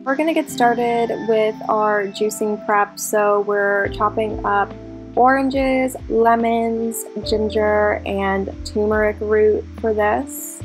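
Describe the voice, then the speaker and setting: A woman's voice: medium pitch, steady tone, takes it slowly. A young woman in her twenties chatting casually through a cooking vlog at home, giving upbeat step-by-step tips. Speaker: flight attendant vlogger. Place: home kitchen, indoors. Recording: guitar music playing underneath. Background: guitar music.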